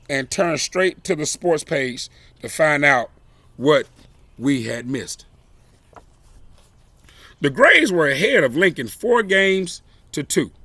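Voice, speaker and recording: A man reading a children's story aloud expressively, with deliberate, emphatic phrasing and dramatic pauses. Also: a little high, sharp tone, no echo. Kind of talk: expressive read-aloud storytelling with brief asides.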